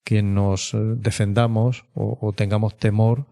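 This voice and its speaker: A man with an accent from Spain, an expert guest explaining in a measured, reflective way and often checking with short tag questions. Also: fairly low pitch, flat delivery, vocal fry, mellow. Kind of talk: explanatory interview talk.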